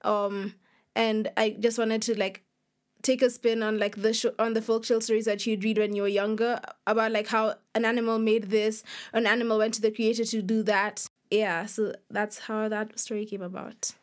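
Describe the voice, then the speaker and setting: A woman's voice: on the high side, little pitch movement, moderate pace. A young woman of about twenty answers interview questions casually, often dropping "like" into her sentences. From Nairobi, Kenya. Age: young adult, about 20. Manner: informal, peppers speech with "like". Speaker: guest author.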